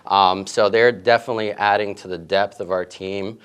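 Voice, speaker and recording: Casting note: middle-aged male coach, deep clear voice, easygoing answers full of ums. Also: moderate pace. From grew up in Italy and Queens, New York. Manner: relaxed, with many fillers and restarts. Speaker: head tennis coach. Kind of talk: casual interview answers.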